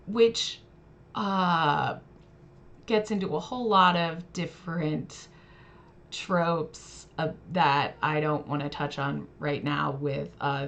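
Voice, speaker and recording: A woman's voice: deep voice, creaky, fairly bright tone. A woman hosting a livestream, talking casually and reflectively, sharing her opinions as commentary. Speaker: livestream host reading and discussing fairy tales. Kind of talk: casual spoken commentary to a live audience.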